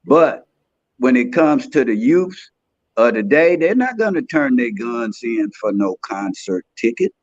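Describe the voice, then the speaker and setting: A man's voice: medium pitch, sing-song, unhurried, no echo. A man in his sixties, a podcast guest speaking in an earnest, streetwise, plain-spoken way in casual conversation. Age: 60s. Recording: dry, dull lo-fi sound.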